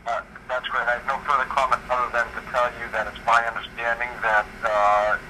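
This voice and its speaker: A man's voice: medium pitch, monotone, brisk, pushed and bright. Guarded man on a noisy phone call. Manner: guarded, hesitant, formal. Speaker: police official returning a call. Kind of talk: formal phone conversation. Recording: noisy telephone line, heard at second hand.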